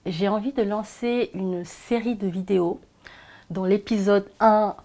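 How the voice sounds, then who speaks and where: A woman, sharing her personal story in a soft, hesitant way with frequent pauses. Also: mid-range voice, steady tone. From Senegal. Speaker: vlogger. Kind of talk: personal confessional vlog.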